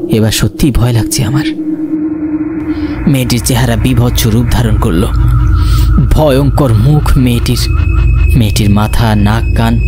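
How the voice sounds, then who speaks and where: A man giving a dramatic, suspenseful first-person narration of a scripted horror story. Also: medium pitch. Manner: expressive, suspenseful. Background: music.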